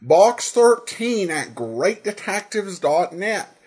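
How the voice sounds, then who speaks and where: American male host for informal podcast intros, animated pitch, rough-edged husky tone, slightly hesitant phrasing. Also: slow pace.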